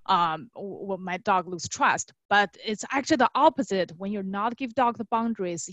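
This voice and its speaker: A young woman from China chats as a guest expert in a casual interview. Her pitch sits in the middle for a woman, and she explains things in an animated way, tagging her points with quick check-in questions. The recording is dry, with very little room sound. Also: no room sound, highs cut off. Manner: animated storytelling, frequent tag questions, quotes imagined clients. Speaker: guest, dog trainer.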